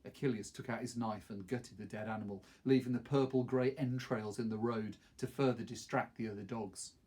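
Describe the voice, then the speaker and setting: Husky middle-aged man reading a story. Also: medium pitch, fairly even intonation, normal speed, darkish tone. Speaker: teacher reading a novel aloud. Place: small wood-panelled study, indoors.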